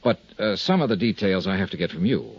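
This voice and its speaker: Tough American radio detective, low husky voice, clipped and probing, coolly polite, muffled 1950s recording. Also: male voice, lively intonation, fast talker, no echo, full character voice. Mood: measured, probing. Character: tough, shrewd freelance male insurance investigator. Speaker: radio drama lead actor. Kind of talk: 1950s radio detective acting, narration and dialogue.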